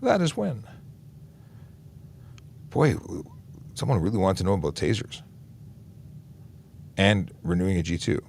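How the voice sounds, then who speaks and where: Man, very low gravelly voice, cop answering chat questions on a livestream, easygoing with lots of fillers. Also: unhurried, long pauses, a little hiss or hum. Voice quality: deep voice. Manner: relaxed, many "uh" fillers and restarts. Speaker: police officer hosting a traffic Q&A livestream. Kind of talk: casual livestream Q&A, reading and answering viewer questions.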